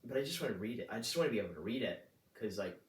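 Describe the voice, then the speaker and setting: A man's voice: fairly low pitch, animated, very fast. A man in his twenties, talking in a rambling casual monologue full of fillers and restarts. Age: 20s. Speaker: vlogger. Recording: roomy echo, distant mic.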